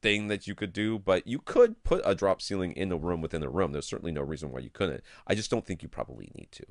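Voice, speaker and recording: A man's voice: low voice, very animated, fast talker, gravelly, dry recording, clean studio sound. A man co-hosting a podcast in a casual, informal and blunt way, sometimes reading out listener questions. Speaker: podcast co-host.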